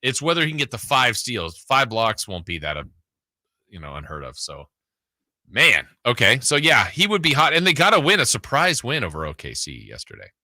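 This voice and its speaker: Bright, forceful American sports radio man. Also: mid-range voice, huge pitch swings, normal speed, dry recording, studio quality. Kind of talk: casual sports talk banter.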